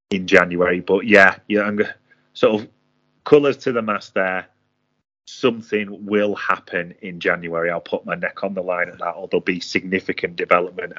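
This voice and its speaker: A low-voiced man co-hosts a football chat show. He speaks in a careful, guarded way and hedges his predictions. The audio is dull, like a remote call. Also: bright, forceful tone. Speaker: podcast co-host, transfer pundit. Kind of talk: casual football podcast chat.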